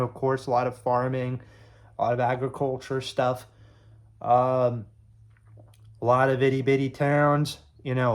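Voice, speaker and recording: A man's voice: mid-range voice, darkish tone. A young man from Illinois chatting casually to camera, rambling with lots of fillers and restarts. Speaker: YouTube sports creator.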